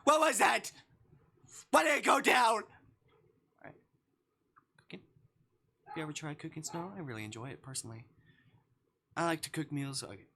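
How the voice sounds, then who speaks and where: A man chatting casually on a game livestream, thinking aloud in a halting way. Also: a little high, animated, creaky, sharp tone. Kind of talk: casual livestream chat while playing a game.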